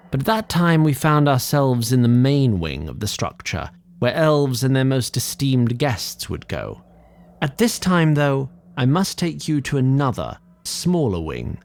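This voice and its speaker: A man narrating a scripted fantasy audio drama in a formal, deliberate way, addressing the listener. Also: medium pitch, big pitch swings, a little mellow.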